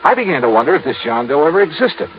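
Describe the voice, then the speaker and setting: Swooping hard-boiled American radio detective. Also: male voice, fairly high pitch, sing-song, fast. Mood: brisk, wry. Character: brisk, wry male insurance investigator, hard-boiled detective. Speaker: lead actor and narrator. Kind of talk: old-time radio detective acting and narration. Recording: dull vintage radio recording with music.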